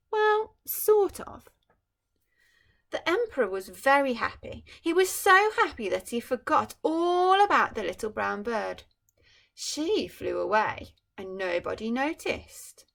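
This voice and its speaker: A woman teacher reading a storybook aloud to children. Her fairly high voice has a strong gravelly creak. She swings widely in pitch and breaks off into gentle, musing asides to her listeners. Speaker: primary school teacher.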